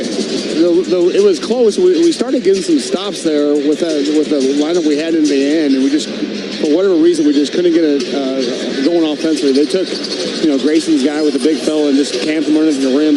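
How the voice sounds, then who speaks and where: An American man, an assistant basketball coach, answering halftime interview questions haltingly and repeating words as he thinks. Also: a little high, fairly even intonation, noisy background.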